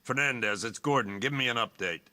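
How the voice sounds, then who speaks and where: An actor playing a tough, determined police chief in a video game, gruff and businesslike as he speaks. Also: medium pitch, a little fast, bright, forceful tone.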